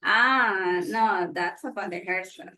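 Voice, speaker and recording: A woman's voice: mid-range voice, a little slow, pushed and bright, dry recording. A woman student from El Salvador answering the teacher in short, tentative replies. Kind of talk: answering in class.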